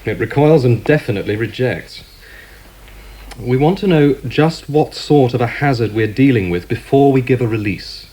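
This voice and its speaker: An actor playing a measured, candid naval captain with a deliberate, formal manner in a radio drama. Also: male voice, mid-range voice, dark tone, breathy edge, natural voice. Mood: grave, puzzled.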